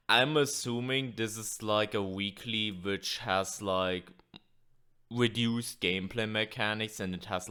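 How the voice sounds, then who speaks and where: Sick young man, bright crisp voice. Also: fairly low pitch. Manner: casual, filler-heavy, swears. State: sick, losing his voice. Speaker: gaming channel host. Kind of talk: casual video commentary.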